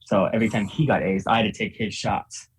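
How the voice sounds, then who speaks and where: A man's voice: fairly low pitch, steady tone, fast talker, slight background noise, poor audio. A young man, born in Ukraine and raised in Virginia, chatting casually as a podcast guest, often laughing and repeating words. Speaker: guest, professional tennis player.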